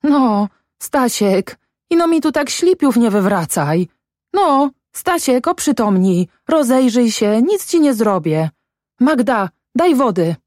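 A woman's voice: mid-range voice, very animated, moderate pace, dry recording, studio quality, pitched above the performer's own voice, lightly acted. A woman voicing a distraught, bossy peasant mother in an audiobook story, with urgent exclamations in rustic dialect. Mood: pleading, trembling. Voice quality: trembling voice.

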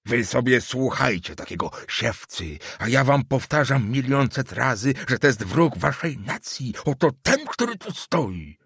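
A man voicing a cranky old male official who rants in a shrill, slow voice. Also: wildly swooping pitch, breathy edge, no room sound, studio quality, full character voice. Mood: furious. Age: older. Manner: shrill, slow, shouting tirades. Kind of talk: audiobook character voice.

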